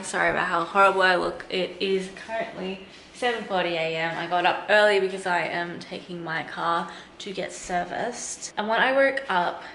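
A woman's voice: mid-range voice, a bit bright, roomy sound, a little hiss or hum. A young woman in her twenties, a bit sniffly, chatting candidly and rambling to viewers from home, holding the camera at arm's length. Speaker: vlogger. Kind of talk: casual chatty vlog. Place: home kitchen and living room, indoors. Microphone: handheld camera at arm's length.

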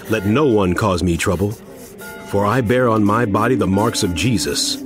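An earnest apostle exhorts believers in a dramatized audio Bible. His solemn, emphatic delivery rises and falls widely in pitch, sitting slightly low, with clear music underneath. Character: earnest, admonishing apostle writing a letter to believers. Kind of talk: dramatized scripture reading.

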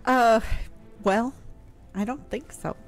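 A woman's voice: on the high side, slow pace, faint music behind. A middle-aged American woman in a casual radio discussion, speaking as the show's historian into a close microphone. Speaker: historian and talk show guest expert. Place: home room, indoors. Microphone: close studio mic on boom arm, headphones.

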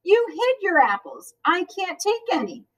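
A woman voicing excitable, protesting young schoolchildren in a storybook, exclaiming and pleading. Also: extremely high pitch, a little slow, far from the mic, voice pushed up, heavily acted. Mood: indignant.

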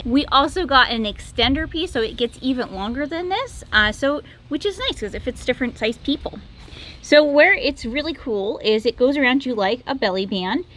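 A woman's voice: fairly high pitch, fairly bright tone, slight background noise. An American woman in her thirties giving a casual, conversational product review to camera as host. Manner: conversational, informal. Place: backyard patio and home kitchen.

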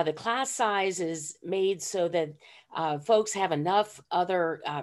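Low-voiced woman speaking with many fillers. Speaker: ESL instructor. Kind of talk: online panel presentation. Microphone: video call.

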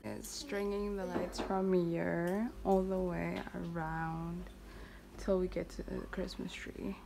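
A woman's voice: lowish voice, fairly even intonation, slow pace, vocal fry, background noise. A young woman vlogger chatting to her viewers in an upbeat, casual way while decorating her living room, filmed on a phone held at arm's length. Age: young adult. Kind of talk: casual vlog narration. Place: living room at home, indoors. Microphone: phone camera held at arm's length.

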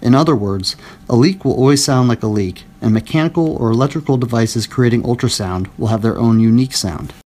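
A man with a mid-range voice reads a scripted product explainer. His delivery is clear, even and explanatory, like a studio voice-over. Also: mid-range voice, a little fast. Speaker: product narrator.

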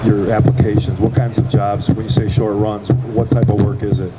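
A man's voice: on the low side, little pitch movement, moderate pace. A middle-aged man interviewing conversationally as a panel moderator in a large exhibition hall. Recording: very noisy trade show floor.

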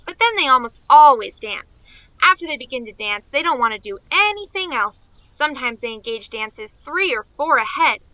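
A woman's voice: high voice, expressive, moderate pace, bright, forceful tone, dry recording, poor audio. A woman playing a naive, eager young niece in a radio play, chattering on in rambling run-on sentences. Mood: earnest, excited.

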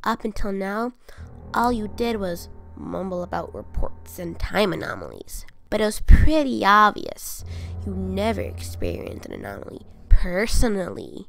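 A woman voicing a cold, taunting child, smug and deliberate, in a cartoon comic dub. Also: medium pitch, very animated, creaky, a bit bright, slight background noise, full character voice. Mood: smug, probing. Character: cold, taunting child who remembers killing everyone.

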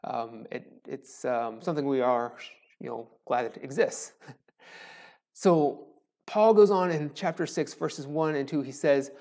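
A man's voice: medium pitch, mellow. Pastor guy in his 40s doing an online sermon, chatty and jokey, lapel mic in a little church. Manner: joking, conversational, with pauses and self-deprecating asides. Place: small church sanctuary with a wooden cross behind. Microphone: lapel mic, camera framed from the chest up.

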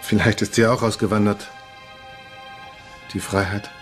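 A man's voice: lowish voice, steady tone, measured pace, faint music behind, lightly acted. A man playing an impassioned, disillusioned revolutionary parliamentarian of 1848 in a historical docudrama. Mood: weary, bitter.

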